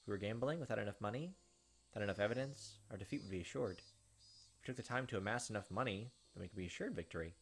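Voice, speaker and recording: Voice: mid-range voice, lively intonation, fast talker, slight background noise. A let's play host reading a visual novel aloud and adding casual, sympathetic commentary. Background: game music.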